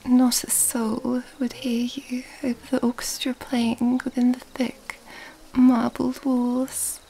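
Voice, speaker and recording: A woman acting as an elegant, predatory vampire lady in an ASMR roleplay. Her breathy, whispered voice sits high but stays soft and dark, unfolding very slowly with teasing, mysterious formality. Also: little pitch movement, a little hiss or hum. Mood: teasing, menacing. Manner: slow, teasing, formal old-fashioned wording.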